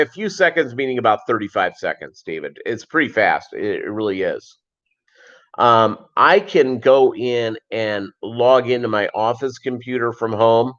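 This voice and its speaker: A middle-aged man chatting casually as a livestream host, in a rambling, halting way that often repeats phrases. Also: medium pitch, fairly bright tone. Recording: dull lo-fi livestream audio.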